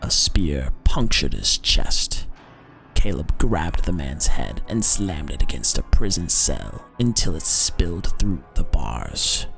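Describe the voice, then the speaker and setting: Very deep, gravelly male dramatic narrator. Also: big pitch swings, a bit bright. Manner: theatrical, deliberate storytelling with drawn-out emphasis. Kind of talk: dramatic scripted narration of dark lore. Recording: music behind the voice. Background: background music.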